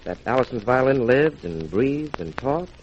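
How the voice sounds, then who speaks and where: Male actor as confident vintage radio sleuth, mid-range voice, deliberate and explanatory, dulled old broadcast. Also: mid-range voice, big pitch swings, natural voice. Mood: assured. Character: sharp, self-assured male detective explaining how he solved it. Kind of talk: 1940s radio crime drama acting. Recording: muffled old radio recording, faint hiss.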